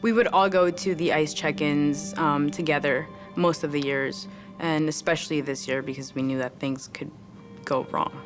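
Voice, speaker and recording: A woman's voice: sits low, steady tone, normal speed. A woman in her twenties telling her family's story steadily in a documentary interview. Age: 20s. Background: background music.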